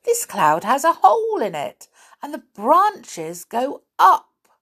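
A woman voicing an eager, mischievous young boy in a storybook, calling out excitedly. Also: sits high, slow pace, voice pushed up, exaggerated voice. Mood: amazed. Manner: excited, exclaiming.

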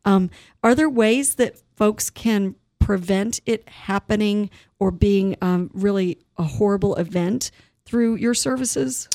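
A woman's voice: medium pitch, slow pace, mellow, no room sound. An American woman hosting a radio interview in a casual, conversational style. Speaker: radio host.

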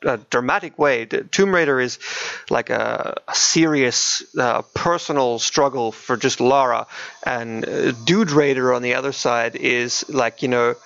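Gravelly man chatting on a podcast. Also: mid-range voice, a little slow, a bit bright, dry recording, highs cut off. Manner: hesitant, repeats words mid-thought. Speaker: podcast co-host. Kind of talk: casual podcast discussion of games and films.

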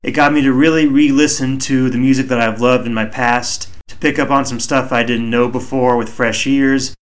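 Male solo podcast host, mid-pitched, soothing and measured, home mic. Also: steady tone. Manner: measured, reflective, deliberately slow. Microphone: home microphone.

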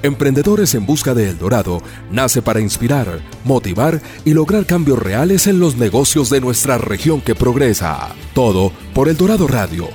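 Station announcer, man, animated promo read, music bed. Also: medium pitch, normal speed, few pauses. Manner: lively announcer delivery. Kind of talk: scripted radio promo.

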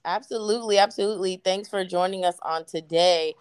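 A young woman hosting a podcast in casual interview chat, lively, slangy and effusive. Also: on the low side, brisk, clear, resonant tone, no echo. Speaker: podcast host.

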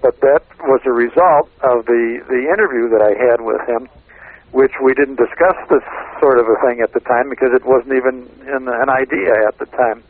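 A man talking casually in an interview, explaining and reminiscing as a radio producer. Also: medium pitch. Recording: narrow phone-like line.

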